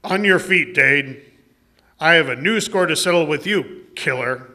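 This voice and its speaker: A man's voice: fairly high pitch, animated, unhurried, sharp tone, full character voice. A man playing a heroic, steadfast masked lawman in an old-time Western radio drama, speaking with firm authority. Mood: commanding, stern.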